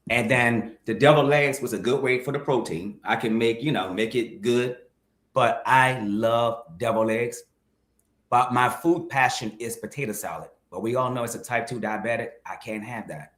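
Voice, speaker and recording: Guest in his 50s, mid-pitched, reflective and candid, echoey room. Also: normal speed, a bit bright. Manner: reflective, speaks to viewers directly. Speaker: guest, mukbang creator. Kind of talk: candid conversational interview. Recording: roomy echo.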